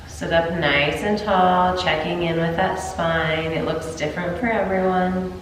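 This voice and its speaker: A woman with a sinus cold leading a gentle yoga class, her voice congested and low, calm cues broken by throat clearing. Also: unhurried, far from the mic, slight background noise. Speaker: yoga instructor. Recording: roomy echo, voice from afar.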